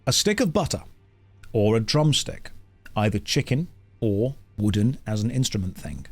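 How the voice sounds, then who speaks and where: A man's voice: lowish voice, very animated, moderate pace, slightly rough, soft background music. Wry British man streaming, jokey. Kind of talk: casual livestream chat running chat polls.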